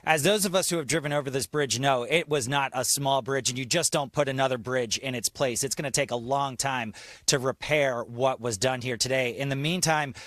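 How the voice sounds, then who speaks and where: A man's voice: mid-range voice, brisk, fairly bright tone, no echo. A man giving a live, descriptive television news report as a transportation reporter.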